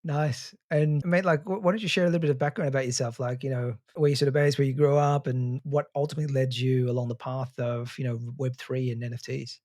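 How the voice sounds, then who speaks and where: A man's voice: medium pitch, steady tone, fast, hardly any pauses, darkish tone, no room sound. Casual male podcast host, dry close sound. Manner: informal, matey, conversational. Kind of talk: upbeat intro and casual interview.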